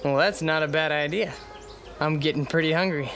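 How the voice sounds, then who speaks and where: Male actor as young newlywed husband for radio drama. High voice, conversational, placating, mildly nervous, scored with music. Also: moderate pace, close to an everyday voice. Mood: soothing. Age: young adult. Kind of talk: naturalistic radio drama dialogue.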